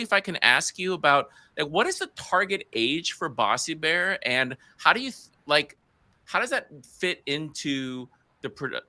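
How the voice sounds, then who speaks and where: A man's voice: fairly high pitch, sharp tone, no echo. A middle-aged man with an American accent, moderating a panel in a conversational, unscripted way.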